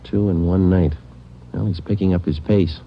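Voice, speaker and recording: A laconic American detective sergeant in a vintage radio police drama. His voice is very low and deadpan, with short businesslike phrases. The old recording is dull, with light noise. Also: moderate pace. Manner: deadpan, clipped, matter-of-fact. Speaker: narrator and lead actor.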